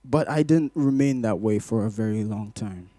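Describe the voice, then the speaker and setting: A man in his twenties from Glasgow, born in Malawi, speaking to a congregation as a guest giving testimony, in a measured, poetic way. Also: on the low side, mellow, no echo. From Glasgow, Scotland, born in Malawi. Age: 20s. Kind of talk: rhythmic spoken-word poetry in places.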